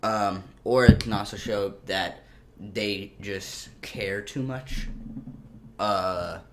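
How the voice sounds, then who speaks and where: A man's voice: lowish voice, takes it slowly, slight background noise. A young man co-hosting a casual chat, rambling and losing his train of thought. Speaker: co-host.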